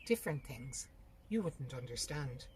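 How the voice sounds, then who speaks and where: Storybook heroine: weary woman, gentle yet obstinate, apologetic acted by a female reader. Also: very deep voice, very animated, unhurried, slight background noise, full character voice. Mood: firm, regretful. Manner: faint, apologetic but firm. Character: worn, gentle, obstinate youngest sister past her prime.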